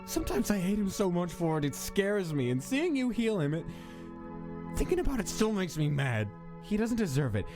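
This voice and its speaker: A man voicing a protective older skeleton brother in a fan comic dub, talking softly and reassuringly. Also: big pitch swings, normal speed, music in the background. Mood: bitter. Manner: soft, reassuring, low-key.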